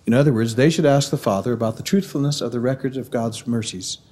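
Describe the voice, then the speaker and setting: A middle-aged man with a mellow, warm Missouri accent delivers a formal devotional address in a measured, earnest way. He speaks into a podium microphone in a large university auditorium. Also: mid-range voice.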